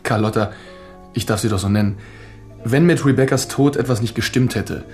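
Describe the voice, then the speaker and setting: A man's voice: mid-range voice, a little fast, darkish tone, soft background music, close to an everyday voice. An actor playing a flirtatious, smug male psychotherapist in a German radio drama, teasing and self-assured.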